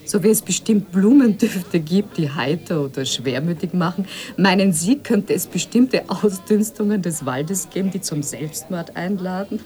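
A woman plays a sardonic conference guest in a radio drama. Her voice sits slightly low, and her wit is edgy and mocking. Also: lively intonation, normal speed, warm tone, close to an everyday voice. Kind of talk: German radio drama acting.

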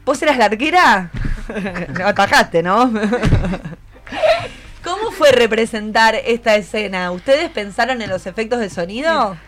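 A woman's voice: fairly high pitch, big pitch swings, unhurried. A woman with a Rioplatense Argentine accent hosting a conversational radio interview, encouraging and full of questions. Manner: encouraging, asks many questions. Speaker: radio host.

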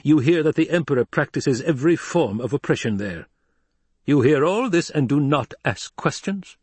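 A man's voice: mid-range voice, animated, fairly quick, slightly rough, no echo, voice pushed up. A man voicing a shrewd, bony old adviser in an audiobook, incisive, probing and contemptuous. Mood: probing, accusing. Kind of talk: dramatized audiobook reading.

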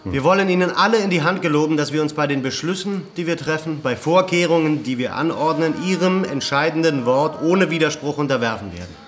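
An actor playing a resolute leader who rallies villagers to resist, with impassioned oratory, in a radio drama. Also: male voice, on the high side, animated, a little fast, few pauses, a little hiss or hum, natural voice. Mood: earnest, urgent. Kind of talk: German radio drama acting.

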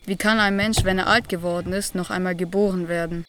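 A respected religious elder who is puzzled and polite, in a biblical audio drama. His intonation stays quite flat as he speaks in a questioning, deferential manner. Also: sits high, brisk. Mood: respectful, puzzled. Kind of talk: dramatized scripture audio play.

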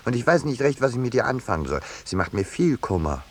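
An actor playing a scheming, smooth-talking man in a 1950s German radio drama, mock-polite and ironic with small hesitations. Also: medium pitch, big pitch swings, fast talker. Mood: calculating. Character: scheming, smooth-talking man bargaining away his troublesome cousin. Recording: vintage 1950s radio recording.

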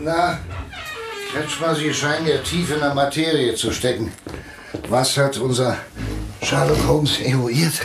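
An actor playing a blustery, fanciful middle-aged male boss in a German radio play, fussy, insistent and husky. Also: medium pitch, fairly even intonation, measured pace, long pauses, background noise. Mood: teasing. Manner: fussy, insistent, repeats himself.

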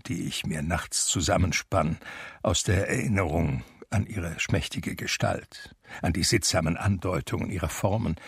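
An actor playing an aging man who recounts his memoir in a measured, reflective literary manner for a radio drama. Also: deep voice, slightly rough, no room sound, studio quality, heavily acted. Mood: confessional. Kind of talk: literary first-person radio drama narration.

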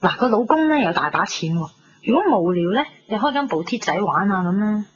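A woman's voice: mid-range voice, brisk, lightly acted. Actress as blunt, chatty young Hong Kong woman, lo-fi. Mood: blunt, worldly. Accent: Hong Kong Cantonese. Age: 20s. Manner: animated, blunt, teasing, sprinkles English words. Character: pragmatic, cynical, chatty young mainland-born shop girl. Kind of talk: 1990s radio drama acting in Cantonese. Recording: dull lo-fi radio recording, music behind.

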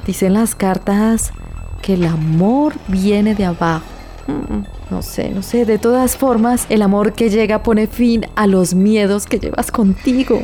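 A woman's voice: medium pitch, unhurried, warm tone, soft background music, natural voice. A woman playing a playful young tarot reader among friends, with a Colombian accent, in a radio soap. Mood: mock mysterious. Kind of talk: radio soap opera acting.

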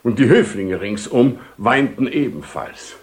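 A man's voice: medium pitch, lively intonation, a little slow, natural voice. An actor playing a pompous, ceremonious knight commander and father in a radio play, long-winded and repetitive. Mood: grandiose, marvelling. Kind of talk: German-language radio play acting, 1970.

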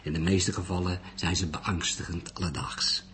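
A man's voice: low-pitched, little pitch movement, normal speed, fairly bright tone. A man reading an audiobook, playing a world-weary older police detective who speaks in a languid, terse way. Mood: weary, dry. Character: world-weary veteran male police detective, dry, stoic. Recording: noisy recording.

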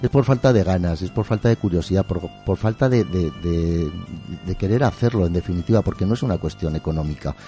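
Deep, mellow man chatting effusively. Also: fast, hardly any pauses, faint music behind. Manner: effusive, colloquial, rambling. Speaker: interview guest, museum keeper and musician. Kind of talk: casual interview chat.

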